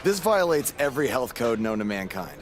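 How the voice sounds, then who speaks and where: An actor playing a frustrated, determined pro wrestler with a dry, exasperated manner in a video game cutscene. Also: male voice, mid-range voice, very animated, brisk, natural voice. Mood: skeptical. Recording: clear background noise.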